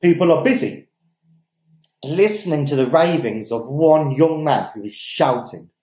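A young man reads a story aloud in a theatrical, emphatic way. His voice is soft-edged and dark in tone, with deliberate pauses. The room around him rings with noticeable echo. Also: fairly high pitch, lively intonation. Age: young adult. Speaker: story reader. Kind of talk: dramatic reading of literary fiction. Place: indoors, small domestic dining room. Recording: echoey room.

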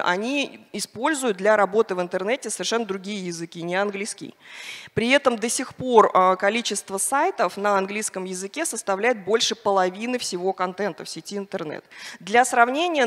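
A middle-aged woman speaking on a conference panel into a handheld mic, hesitant with many fillers and restarts. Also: medium pitch. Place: large conference hall on stage. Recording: reverberant conference hall.

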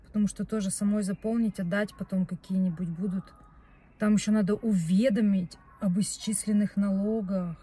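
Young woman, vlogger, husky and relaxed, talking loosely and restarting her sentences, in a car. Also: medium pitch, normal speed. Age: young adult. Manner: chatty, rambling, self-correcting. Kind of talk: casual chat to camera. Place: parked car, indoors. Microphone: phone camera on dashboard.

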